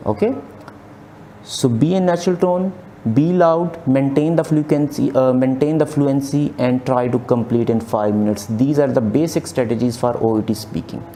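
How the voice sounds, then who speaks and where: A man's voice: medium pitch, mellow, a little hiss or hum. A man in his thirties teaching exam speaking strategies over slides, repeating key points with frequent fillers. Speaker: OET exam tutor. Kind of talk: slide lecture. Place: small indoor room, webcam inset.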